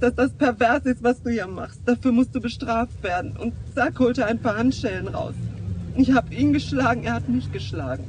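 Very high, plaintive young street transvestite. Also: male voice, a little hiss or hum, poor audio. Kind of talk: German radio drama acting.

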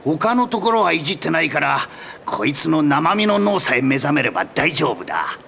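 A man voicing a gruff, matter-of-fact tinkerer in anime, casual and blunt. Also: fairly high pitch, big pitch swings, moderate pace, sharp tone, slight background noise, heavily acted. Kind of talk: anime voice acting. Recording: music behind the voice.

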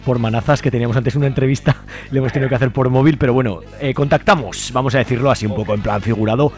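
A man with an Aragonese Spanish accent hosts a casual radio chat, talking fast and easily. His voice sits at an ordinary pitch, with a soft bed of music playing behind him. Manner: quick, chatty, self-deprecating. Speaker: radio host.

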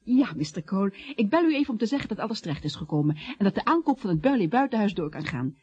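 Animated, husky-voiced female secretary. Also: medium pitch, fast talker, highs cut off. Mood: bright, businesslike. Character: admiring, efficient female office secretary, loyal and coaxing. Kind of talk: radio comedy drama acting.

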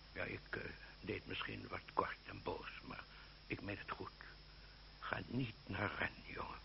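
An actor playing a hot-tempered, gruff older aristocratic uncle in a radio drama, stammering and exclaiming as he blusters. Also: lowish voice. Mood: subdued, pleading. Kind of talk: Dutch radio drama acting. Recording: muffled, noisy, humming old radio recording.

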